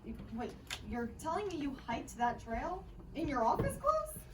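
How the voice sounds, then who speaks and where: Woman acting a sarcastic high-voiced wife, recorded from afar. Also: big pitch swings, moderate pace. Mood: surprised. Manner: dry, sarcastic, sharp-tongued. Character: sarcastic, exasperated but caring wife. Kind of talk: live radio play reading. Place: live reading at a bookshop event. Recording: distant mic, faint room noise.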